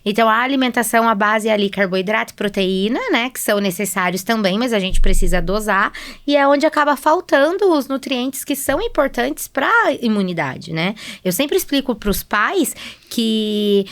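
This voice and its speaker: Female expert guest, Brazilian accent, slightly high voice, explains in everyday conversational style with frequent tag questions. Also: normal speed. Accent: Brazilian Portuguese. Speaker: guest nutritionist.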